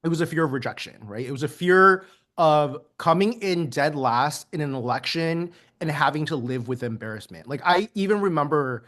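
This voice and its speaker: Man, first language Mandarin, interview guest, reflective, slightly high pitch, light laughs. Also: expressive, moderate pace, a bit bright. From United States. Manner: earnest, laughs lightly between points, many ums.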